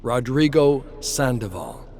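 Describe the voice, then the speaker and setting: A man's voice: medium pitch, animated, slow pace, darkish tone. A man voicing a grim, terse bounty hunter who leads an outlaw gang in a western story. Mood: demanding.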